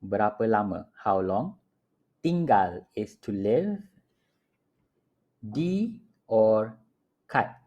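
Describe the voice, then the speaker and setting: A man teaching phrases at home, repeating each one slowly and clearly for learners in a patient lesson style. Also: medium pitch, darkish tone, lo-fi sound. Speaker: language teacher. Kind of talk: slow, repetitive phrase-by-phrase teaching. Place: home apartment.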